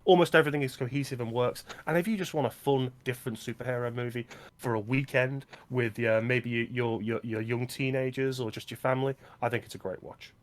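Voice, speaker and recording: A man's voice: mid-range voice, fairly even intonation, brisk. Enthusiastic man recommending films. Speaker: film podcast co-host. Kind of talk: casual film discussion.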